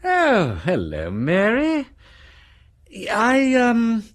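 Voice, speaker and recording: An actor playing an affable, inquisitive gentleman detective in a radio drama, with an upper-class English accent and jaunty, old-fashioned slang. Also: very high-pitched, huge pitch swings, extremely slow, exaggerated voice. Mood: breezy, concerned. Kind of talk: British radio drama acting.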